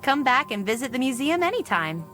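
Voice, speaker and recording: A woman's voice: sits high, big pitch swings, fairly quick, sharp tone, faint music behind, full character voice. An actress playing a friendly, earnest woman who proudly runs a small museum in a video game. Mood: welcoming. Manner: welcoming, earnest. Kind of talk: video game character dialogue.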